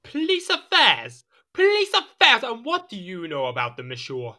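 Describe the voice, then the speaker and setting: Petulant king, high, swooping, sharp. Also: huge pitch swings, moderate pace, heavily acted. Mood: petulant outrage. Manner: haughty, exasperated, theatrical. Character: petulant, imperious, easily irritated king. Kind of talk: period audio drama acting.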